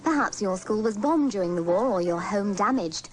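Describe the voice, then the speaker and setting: A woman's voice: mid-range voice, animated, moderate pace, rough recording. A woman reading a scripted radio announcement. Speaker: radio presenter.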